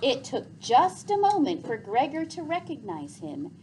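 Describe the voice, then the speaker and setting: A woman reads aloud as a teacher, with very lively intonation. Her pace is a little slow and her phrasing explanatory, over faint room noise. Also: high voice, poor audio. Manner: clear explanatory phrasing, repeats pupils' answers. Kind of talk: expressive read-aloud with class questions. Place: classroom. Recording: faint classroom background noise.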